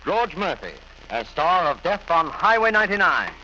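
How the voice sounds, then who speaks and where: A man's voice: fairly high pitch, very animated, normal speed, very noisy. A man announcing a thriller programme in 1940s radio style. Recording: muffled vintage radio, loud music behind.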